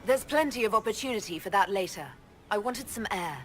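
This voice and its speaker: A female actor plays a resolute, guarded commander in a fantasy game. She speaks firmly and directly at a middling pitch, with music behind her. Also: lively intonation, fairly quick, close to an everyday voice. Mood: curious, composed. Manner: direct, plain-spoken, firm. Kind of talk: game voice acting.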